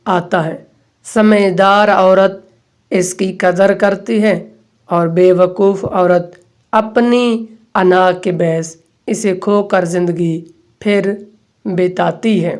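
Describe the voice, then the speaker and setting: A woman reading out scripted quotations as a narrator, in measured, deliberate phrases with pauses. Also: mid-range voice, darkish tone. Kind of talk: scripted recitation of Urdu quotes.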